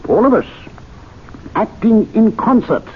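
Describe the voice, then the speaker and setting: A man's voice: high voice, animated, unhurried. An actor playing a learned club member who earnestly lectures his fellow members in a radio drama, recorded as a tinny old broadcast with faint hiss. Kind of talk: 1970s radio drama acting.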